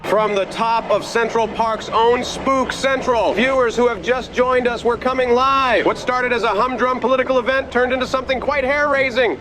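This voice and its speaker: A man's voice: very high-pitched, fairly even intonation, normal speed, few pauses, noisy background. A man playing a brash, self-important figure in a motion comic, with pompous, theatrical delivery. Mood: showy, chatty. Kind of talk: animated motion comic acting.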